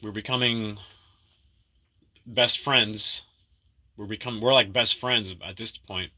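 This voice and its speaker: A man in his thirties, sick, chatting casually and halting often while reading viewers' comments. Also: fairly low pitch, steady tone, sharp tone, rough recording. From Argentina. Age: 30s. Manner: rambling, halting, full of fillers and restarts; upbeat when greeting viewers. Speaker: livestreamer reading chat. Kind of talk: casual live-stream chat.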